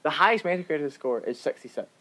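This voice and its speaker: A man's voice: fairly high pitch, lively intonation, fast, sharp tone. A man co-hosting a podcast in casual film discussion, often repeating his openings to get a word in. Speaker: podcast co-host.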